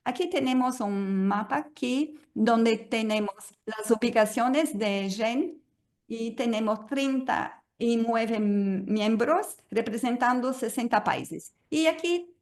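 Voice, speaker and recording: A woman whose native language is Portuguese presents in a webinar over a video call. Her voice sits somewhat high, and she speaks slowly and hesitantly, often restarting her phrases. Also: darkish tone. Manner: hesitant, many fillers and restarts. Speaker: guest speaker.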